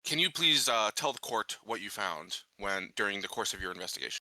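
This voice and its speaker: A man playing a tabletop game, improvising casually and haltingly as he searches for words. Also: fairly low pitch, lively intonation, pushed and bright, dry recording, rough recording.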